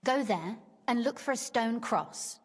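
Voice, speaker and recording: A woman's voice: a little high, expressive, measured pace, slightly rough. An actress playing a young, curious noblewoman in a video game, speaking in a genteel, articulate and teasing manner. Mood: helpful explaining. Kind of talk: video game dialogue acting. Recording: long reverb tail, faint game music behind.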